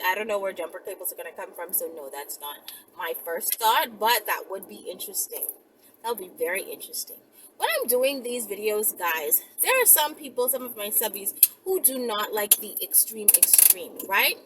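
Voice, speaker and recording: A woman's voice: medium pitch, expressive, moderate pace, fairly bright tone, slight background noise, low-quality recording. A woman video host chatting casually and rambling to viewers in a blunt, emphatic, profane, conversational way.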